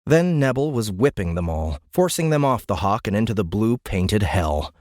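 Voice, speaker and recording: Low-voiced man narrating a tense audiobook. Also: very animated, normal speed, darkish tone, no echo, studio quality. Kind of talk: dramatic scripted narration of military science fiction. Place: dry recording studio.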